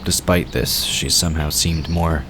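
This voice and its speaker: Extremely deep male narrator reading a fantasy story, clear noise behind him. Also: steady tone, measured pace. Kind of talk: scripted fiction narration.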